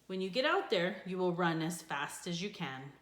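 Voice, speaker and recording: A woman voicing a firm, caring mother in a children's story, speaking in a measured way. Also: on the low side, expressive, fairly bright tone. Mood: gentle, reassuring. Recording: echoey room.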